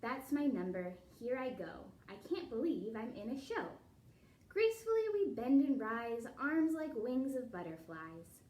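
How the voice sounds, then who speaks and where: A woman's voice: fairly high pitch, very animated, a little slow. A woman leading a children's storytime and ballet class at home, speaking in an encouraging, instructive way straight to the children. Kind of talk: storybook reading and guided stretch class for young children. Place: living room at home. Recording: roomy, distant home recording with loud music.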